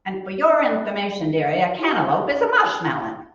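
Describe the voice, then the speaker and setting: A woman playing a sensible, teasing, gently scolding wife in a 1940s radio comedy. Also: mid-range voice, very animated, fairly quick. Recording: muffled, echoey room, far from mic.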